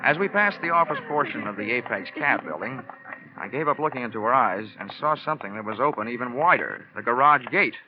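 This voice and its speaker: A male actor plays a wisecracking hard-boiled detective in a vintage radio show. His mid-pitched voice is wry and deadpan. The sound is dull and lo-fi, with music behind. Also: brisk, close to an everyday voice. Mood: wry narrating. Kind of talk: 1940s radio drama narration and acting. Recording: dull old radio broadcast recording.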